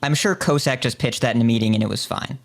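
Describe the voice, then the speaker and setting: Male podcast host, gravelly fry, medium pitch, drily playful. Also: fast talker. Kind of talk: casual podcast hosting.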